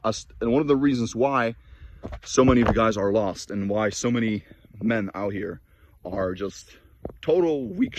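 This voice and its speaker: A man's voice: lowish voice, a little hiss or hum. A man whose native languages are Norwegian and Polish, giving a blunt, preachy motivational monologue to camera with frequent restarts. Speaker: fitness and self-improvement vlogger. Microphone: camera mic.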